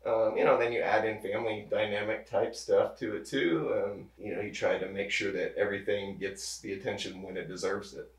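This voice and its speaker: A man in his thirties, a pharmacist, talking casually as an interview guest, relaxed and rambling with many restarts. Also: fairly low pitch, fairly quick, few pauses. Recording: roomy echo, distant mic.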